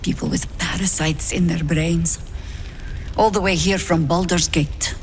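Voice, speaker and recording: An aged druid war leader, shrewd and wary. The voice sits fairly low, formal and commanding, as in a fantasy game, with faint ambient noise behind. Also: female voice, fairly even intonation. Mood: wary, grave. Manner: measured, formal, authoritative. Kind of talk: fantasy video game dialogue.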